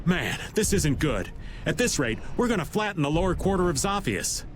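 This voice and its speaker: A man's voice: a little high, big pitch swings, normal speed, slight background noise. A drawling, wisecracking older guy.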